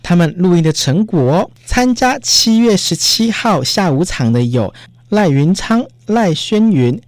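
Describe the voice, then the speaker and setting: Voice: medium pitch, a little mellow, no room sound, studio quality. A radio host with a Taiwanese Mandarin accent, introducing recorded segments.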